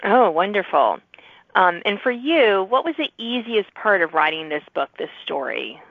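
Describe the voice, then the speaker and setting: A woman's voice: mid-range voice, very animated, normal speed, dry recording, phone-quality sound. A woman hosting a casual interview show, informal and eager in how she reacts to answers. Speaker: podcast host interviewing authors.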